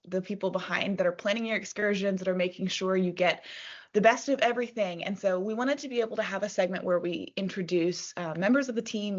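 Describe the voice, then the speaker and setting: A woman's voice: lowish voice, lo-fi sound. Upbeat woman hosting. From Australia. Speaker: interview host. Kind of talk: casual interview.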